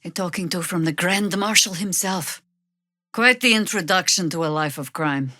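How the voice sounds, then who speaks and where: A woman voicing a weary, sardonic veteran druid warrior in a fantasy video game, speaking in a dry, measured way. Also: lowish voice, pushed and bright, no room sound. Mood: wry, measured.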